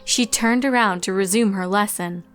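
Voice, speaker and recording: A woman narrates a story in a mid-pitched voice. Her delivery is scripted and expressive, in the manner of a fiction reading, with music playing behind her. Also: normal speed. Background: background music.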